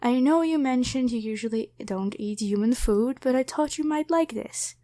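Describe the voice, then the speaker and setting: A very high-pitched young woman, played by a female voice actor, speaks straight to the listener in an ASMR roleplay. She is grateful and easily flustered. Her talk is hesitant and rambling, full of stammers and apologies. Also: moderate pace, dark tone, lightly acted. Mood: teasing, hesitant.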